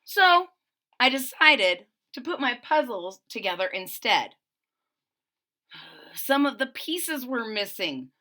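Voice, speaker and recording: A woman reads a picture book aloud for young listeners, stopping to chat about the pages. Her voice sits a little high and sounds bright and clear. She swings her pitch widely, with plenty of expression. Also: takes it slowly, long pauses. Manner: expressive, chatty asides between pages. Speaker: storybook reader.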